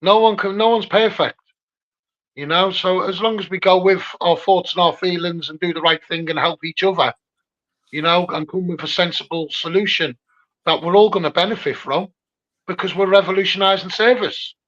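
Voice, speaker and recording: Through dull, low-fidelity audio comes a man with a high voice and a Scouse accent. He speaks casually but with earnest emphasis as an interviewer, returning to his key phrases to press his points. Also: steady tone, normal speed, a bit bright. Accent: Liverpool (Scouse). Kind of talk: casual advocacy chat.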